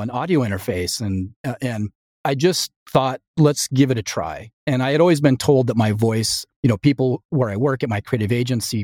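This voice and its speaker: A man in his fifties from the United States, an interview guest talking casually and reflectively, often halting and quoting himself as he tells a story. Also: mid-range voice, darkish tone, no room sound, studio quality. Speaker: interview guest, writer and audio drama creator.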